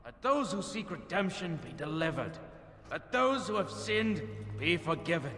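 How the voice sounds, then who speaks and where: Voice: mid-range voice, sing-song, normal speed, fairly bright tone, roomy sound, long reverb tail. A man playing a devout preacher in a fantasy game, intoning a holy chant in a solemn, declamatory way. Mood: solemn, exhorting.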